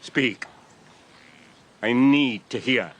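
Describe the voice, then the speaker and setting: A man's voice: mid-range voice, drawn-out words, a bit bright, a little hiss or hum. An actor playing a grave, commanding father in a radio drama, speaking tersely and demanding to be answered. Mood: anguished, demanding. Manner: terse, imperative.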